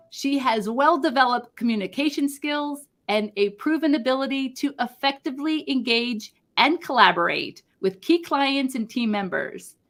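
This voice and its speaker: A woman's voice: high voice, a bit bright. A woman hosting a video-call interview show, reading a guest introduction and then chatting in an upbeat, effusive way. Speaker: host, life coach.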